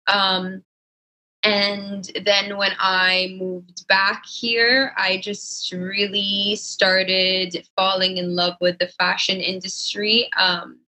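Bright-voiced young woman chatting. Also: mid-range voice, little pitch movement, drawn-out words, poor audio. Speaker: podcast guest, fashion designer. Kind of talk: casual conversational interview answers.

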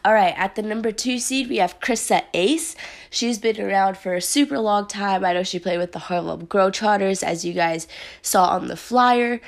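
A young woman hosting her podcast in casual chat, her voice a little raspy from a sore throat, upbeat and chatty with lots of fillers. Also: mid-range voice, moderate pace. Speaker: podcast host. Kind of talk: casual solo podcast talk.

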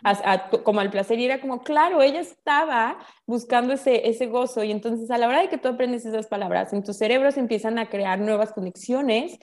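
Woman, Mexican accent, slightly high voice, casual explanatory talk, informal, expressive, colloquial. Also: brisk, few pauses. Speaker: guest explaining spiritual ideas.

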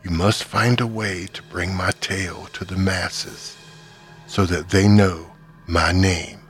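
A monstrous insect creature with a human face, voiced by a man hisses in a deep, buzzy voice. Every word comes slowly and deliberately, low-pitched and cold. Faint music plays behind it. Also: steady tone. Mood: menacing. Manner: slow, measured, menacing. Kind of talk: horror story narration.

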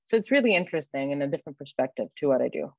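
A woman's voice: low voice, animated, rapid-fire, vocal fry, husky, dry recording. Young woman from egypt, chatty interview answers, reflective, dry sound, bit lo-fi. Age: 20s. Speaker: guest, early-career academic researcher.

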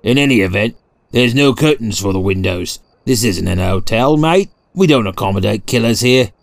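A man voicing a powerfully built, gruff police constable in a storybook, blunt and colloquial, tense in temper. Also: fairly low pitch, lively intonation, moderate pace, no room sound, pitched below the performer's own voice. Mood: scornful.

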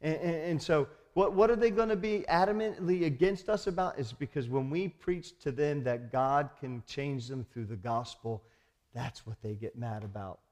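A man's voice: on the high side, moderate pace, darkish tone. A man preaching a sermon as a pastor, emphatic, often putting rhetorical questions to his congregation.

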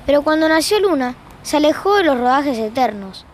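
A woman's voice: high-pitched, lively intonation, a little fast. An Argentine woman playing the wry, conversational narrator of a fiction podcast about a married couple's squabbles.

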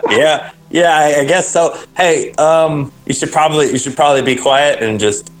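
A man's voice: fairly high pitch, steady tone, moderate pace, pitched above the performer's own voice, lightly acted, poor audio. A man voicing a weary, fed-up prison guard. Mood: exasperated. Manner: exasperated, curt.

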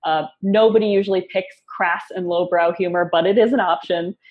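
Casting: woman copywriter guest, fairly low voice, upbeat and quick, joking asides, dull lo-fi call sound. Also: steady tone. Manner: upbeat, quick, self-deprecating humor. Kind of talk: casual interview talk.

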